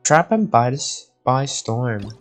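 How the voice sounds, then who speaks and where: Guy streaming a game, talks slow, kinda rambles thinking out loud, quiet music under him. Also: mid-range voice, very animated. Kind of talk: casual live gameplay commentary.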